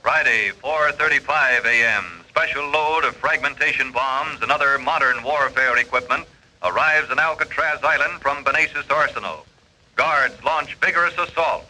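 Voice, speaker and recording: An actor playing an urgent bulletin announcer in a 1940s radio crime drama, with terse scripted delivery. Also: male voice, medium pitch, moderate pace, close to an everyday voice, low-quality recording. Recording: old radio broadcast recording.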